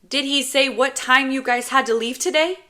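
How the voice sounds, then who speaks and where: A woman's voice: high voice, steady tone, moderate pace, bright, forceful tone, voice pushed up. A woman voicing a stubborn, emotional ten-year-old boy in an audiobook. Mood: insistent, pestering. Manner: protesting, pestering, emotional.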